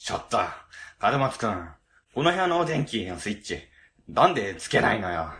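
A man playing a cowardly, dramatic middle-aged section chief in a comedic radio drama, stammering and excitable. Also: lowish voice, normal speed. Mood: relieved, grumbling. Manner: stammering, excitable, exaggerated frightened delivery.

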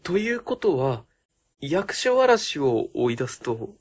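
A man's voice: fairly high pitch, very animated, measured pace, slightly rough, exaggerated voice. A man playing an earnest, anxious junior city official in a comedic radio drama, speaking formally. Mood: earnest worry.

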